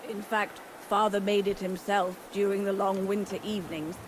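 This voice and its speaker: An actress playing a gruff, bitter older innkeeper and former resistance fighter in a video game, blunt and world-weary. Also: female voice, mid-range voice, little pitch movement, moderate pace, natural voice. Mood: matter-of-fact. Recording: clear background ambient noise.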